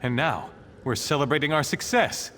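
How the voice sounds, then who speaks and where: A man's voice: on the high side, normal speed, sharp tone, slight background noise. A man playing a hopeful nobleman and doting husband in a video game, earnest and theatrical as he clings to good cheer. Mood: jovial. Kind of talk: dramatic game dialogue.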